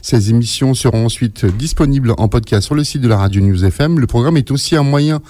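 A man's voice: mid-range voice, brisk, dark tone. A man, a radio trainer, steadily explaining a training program on air.

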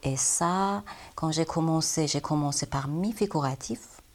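Iranian woman artist, low pitch, talks slow, hunts for words a lot. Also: darkish tone. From Iran. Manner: halting non-native French, searching for words. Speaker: watercolor painter. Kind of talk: interview answers.

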